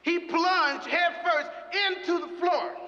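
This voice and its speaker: An actor playing an emotional, rambling preacher who introduces his brother in a dramatised speech, halting and repeating himself with fervour. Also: extremely high pitch, fairly even intonation, bright, forceful tone, exaggerated voice. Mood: excited storytelling. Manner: halting, repetitive, impassioned. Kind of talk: dramatised public speech from a pulpit. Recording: echoey noisy hall, dull sound, crowd.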